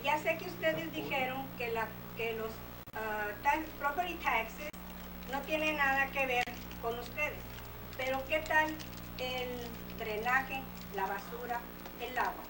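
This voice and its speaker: A high-pitched woman pleads her case to a city council, insistent and impassioned, now and then dropping in English words. She sounds far from the microphone in an echoey chamber with a noisy recording. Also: normal speed. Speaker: resident addressing the city council. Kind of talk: public comment at a council meeting.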